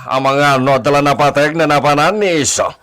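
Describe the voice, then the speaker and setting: A man playing an elderly, indulgent landowner father in a Filipino radio drama, speaking with a Filipino accent and measured fatherly delivery sprinkled with Spanish. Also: a little high, steady tone, a bit bright, clean tone, lightly acted. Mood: concerned, authoritative. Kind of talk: Ilocano radio drama acting.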